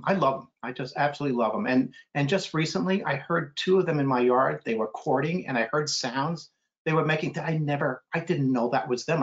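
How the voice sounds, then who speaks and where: A middle-aged American man chatting casually as a guest author, answering questions on a video call. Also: medium pitch. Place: indoor room on a video call. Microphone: computer video call. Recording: dull, lo-fi video call audio.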